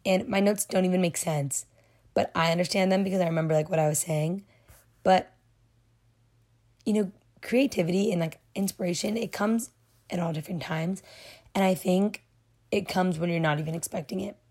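A young woman hosting her own podcast, talking casually and affectionately to listeners while her voice is hoarse and nearly gone. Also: lowish voice, normal speed, long pauses, darkish tone. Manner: chatty, affectionate, rambling. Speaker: podcast host. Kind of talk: casual solo podcast talk.

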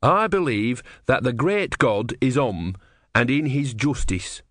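A man's voice: medium pitch, sing-song, takes it slowly, fairly bright tone, no echo, studio quality, pitched above the performer's own voice, exaggerated voice. A man voicing a timid, devout young novice who speaks in a hesitant, earnest, meek way in an audiobook.

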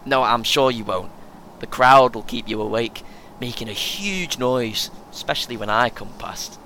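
Audio play: male actor as cocky, earnest young British runner, chatty know-all, bright edge, average pitch, light engine rumble underneath. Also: moderate pace, close to an everyday voice. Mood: advising, keen. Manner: earnest, self-important, chatty, chuckles often. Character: chatty, boastful, eager young amateur marathon runner. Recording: faint vehicle noise behind. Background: vehicle rumble, coach ambience.

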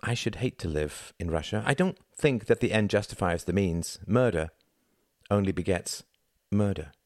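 A man voicing a morally earnest younger son, murmuring, halting and weary, in a dramatised story reading. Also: low voice, darkish tone, no echo, studio quality, natural voice. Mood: subdued, earnest.

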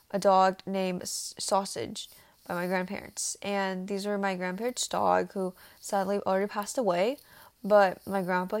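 A teenage girl who grew up in Taiwan, giving a prepared personal talk for a youth nonprofit, earnest and a little halting with fillers. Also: medium pitch. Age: teens. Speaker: student speaker for a youth nonprofit.

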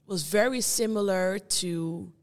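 A young woman from the Netherlands, a guest performing artist, speaking reflectively and searching for her words with many pauses. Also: medium pitch, in a large hall. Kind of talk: reflective conversation.

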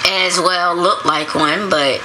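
A woman co-hosting a casual podcast, talking in a lively, giggly, slangy way. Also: on the low side, slow pace, background noise. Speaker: podcast co-host. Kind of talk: casual chat.

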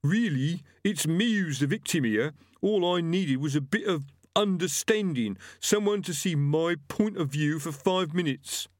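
A dim storybook ghost of a murderer, forever feeling wronged. The voice is raised in pitch, whining and halting, with animated swings. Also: male voice, dry recording, studio quality. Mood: aggrieved. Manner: halting, whining, self-pitying.

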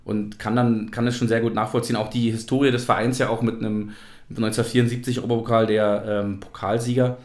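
A man's voice: fairly low pitch, flat delivery, fast, darkish tone. A man from East Germany hosting a casual football podcast, talking in a rambling, reminiscing way. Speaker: podcast host. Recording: roomy, echoey sound.

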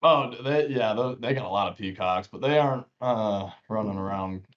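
A man's voice: lowish voice, moderate pace. Joking young man, dull lo-fi sound. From small town. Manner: joking, interrupting, prompting questions. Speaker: podcast co-host. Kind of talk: casual banter.

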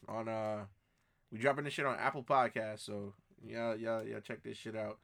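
Man, podcast host, casual chat, slangy, laid-back, drawn-out phrasing. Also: on the low side, little pitch movement, gravelly, fairly bright tone.

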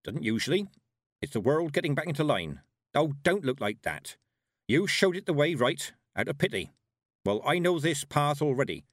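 A man voicing a stern, commanding old woman witch who talks in a brisk, no-nonsense way. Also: fairly high pitch, very animated, no echo, clean studio sound, pitched above the performer's own voice.

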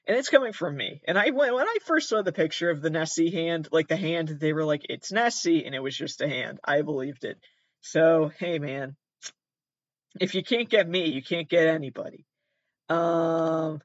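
A man's voice: a little high, no room sound, highs cut off. A man hosting comedic commentary on pranks, rambling, swearing and joking at his own expense. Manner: rambling, swearing, self-deprecating.

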